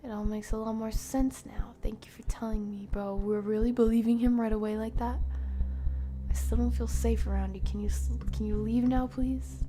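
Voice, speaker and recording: A woman's voice: fairly high pitch, fairly even intonation, moderate pace, warm tone, soft background music. A woman streamer playing a horror game live, reading dialogue aloud and reacting. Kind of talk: live gameplay commentary.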